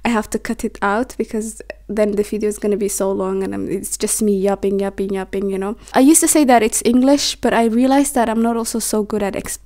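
Rambling young woman, mellow voice. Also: mid-range voice, a little fast, few pauses. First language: Somali. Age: 20s. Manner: rambling, self-interrupting, stumbles on words. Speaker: vlogger. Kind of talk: reflective talk to camera. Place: small bedroom, indoors. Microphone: camera on a desk, facing her.

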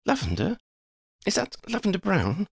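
Man voicing an earnest young woman, lifted high. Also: wildly swooping pitch, moderate pace, gravelly, breathy edge, exaggerated voice. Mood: surprised. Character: earnest, startled young woman, brainy and affectionate. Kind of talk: audiobook character voice.

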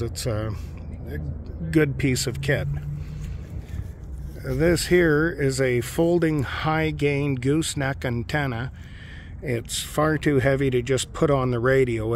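A man giving an informal, matter-of-fact walkthrough of his gear, explaining each piece as a hobbyist. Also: mid-range voice. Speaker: amateur radio hobbyist presenting gear. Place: outdoors on a lawn. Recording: outdoor recording with clear background noise.

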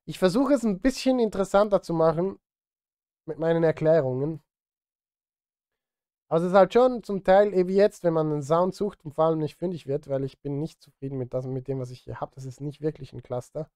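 A man's voice: fairly high pitch, brisk, mellow, clear, resonant tone, dry recording, clean studio sound. A man in his thirties chatting casually to livestream viewers while he works, self-deprecating and direct. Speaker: music streamer and composer. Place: indoor home studio.

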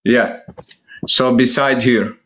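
A middle-aged man from Syria, chatting in a live-stream debate in slow, halting English and often searching for words, recorded on a phone held close in a room at home. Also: medium pitch, fairly even intonation, background noise.

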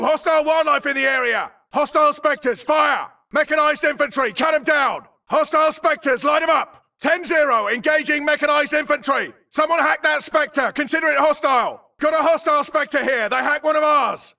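Casting: aggressive video game grunt, urgent clipped yells, harsh gravel and hard bright edge. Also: male voice, very high voice, normal speed, few pauses, far from the mic, exaggerated voice. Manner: urgent, clipped battlefield yelling. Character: frantic, aggressive male grunt soldier in battle. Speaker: game voice actor. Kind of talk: shouted combat barks for a shooter game.

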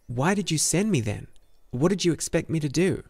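A man's voice: mid-range voice, normal speed, warm tone, voice pushed up, natural voice. A man voicing an earnest, bewildered young hero in a fantasy audiobook, speaking in an urgent, questioning, emphatic way. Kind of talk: fantasy audiobook character dialogue.

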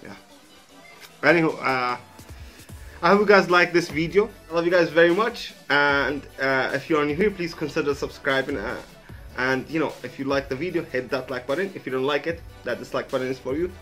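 A man's voice: on the high side, brisk, a bit bright, faint music behind. A man in his thirties, a native Arabic speaker with an Arabic accent, chatting loosely in a reaction video, rambling and swearing casually, recorded in a small room on earphones. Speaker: reaction vlogger. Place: small indoor room with a sheet hung behind. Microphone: wired earphone mic.

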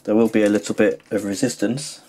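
A man's voice: on the low side, steady tone, a little fast, mellow, slight background noise. A man narrating a hands-on how-to as a tutorial host, explaining plainly step by step.

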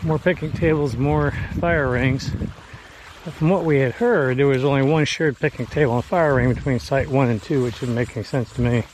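A man in his fifties vlogging in a relaxed, chatty way with lots of fillers, filmed outdoors at arm's length. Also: medium pitch, moderate pace, music in the background, poor audio. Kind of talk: casual outdoor vlog narration. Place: outdoors on a beach campsite.

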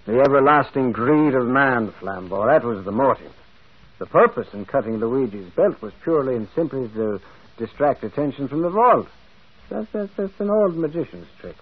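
A male actor playing a gentle, shrewd priest detective in a radio mystery, musing and hesitant, often repeating words. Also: mid-range voice, animated, normal speed, slightly rough. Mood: shrewd, explaining. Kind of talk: vintage radio mystery acting. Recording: dull, muffled old radio recording.

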